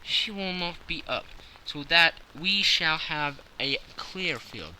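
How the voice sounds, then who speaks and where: A man voicing a confident, analytical gentleman detective who explains things precisely and with self-satisfaction in an audiobook. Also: on the high side, animated, takes it slowly, a little hiss or hum, full character voice, poor audio. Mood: brisk, confident. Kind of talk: audiobook character acting.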